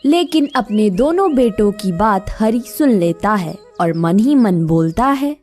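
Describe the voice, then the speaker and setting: A woman's voice: medium pitch, animated, a little slow, a little mellow, faint music behind. A woman narrating a scripted moral story as the storyteller.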